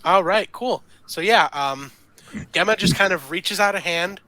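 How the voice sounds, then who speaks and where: A player in a tabletop roleplaying game, chatting informally and thinking aloud in a halting way while describing moves. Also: medium pitch, bright, forceful tone, no room sound, poor audio. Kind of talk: casual game chat.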